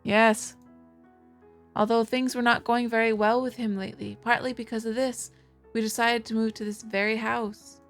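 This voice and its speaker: A woman's voice: fairly high pitch, level tone, normal speed, soft background music, natural voice. A woman voicing a distressed, insistent young wife in a visual novel, speaking earnestly. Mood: earnest, explaining. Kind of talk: visual novel dialogue read aloud.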